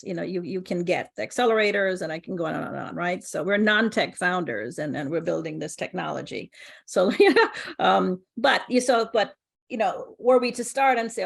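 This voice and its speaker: A woman's voice: medium pitch, vocal fry. A middle-aged woman with a Jamaican accent, speaking as a co-founder in a casual interview, laughing often and restarting her sentences. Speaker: co-founder and guest.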